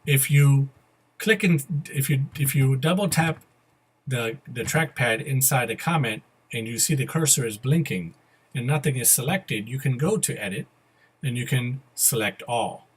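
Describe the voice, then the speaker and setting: A man presents a software screencast in a casual, methodical manner. His voice is a little husky and sits at a medium pitch. He spells out each step as he goes. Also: moderate pace. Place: voice-over to a computer screen recording.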